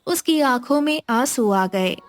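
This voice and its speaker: A woman's voice: high-pitched, expressive, measured pace, faint music behind. A woman narrating a scripted moral tale as a storyteller.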